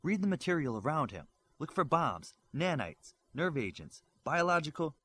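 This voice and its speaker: A man voicing an observant young superheroine in an audiobook, brisk and explanatory. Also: a little high, very animated, a bit bright, slightly rough, voice pushed up, full character voice. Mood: explaining.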